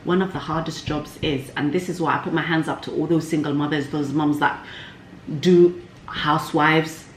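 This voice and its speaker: A woman's voice: low voice, fairly even intonation, normal speed. A woman chatting casually to viewers in a candid, cheeky, slangy way, like a vlog host. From Somalia. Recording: echoey room, faint background noise.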